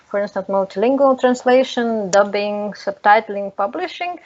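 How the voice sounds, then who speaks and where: Lithuanian woman, native russian speaker, presenting online, halting, mid pitch, muffled line w bit of noise. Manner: hesitant, halting delivery. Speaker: seminar presenter. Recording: dull, noisy online call with clicks.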